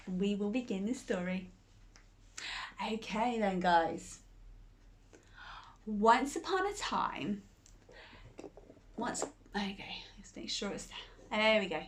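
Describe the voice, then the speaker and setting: Playful, animated woman reading a story. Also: a little high. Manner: playful, animated, laughs often. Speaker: storyteller host. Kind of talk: bedtime story reading for children.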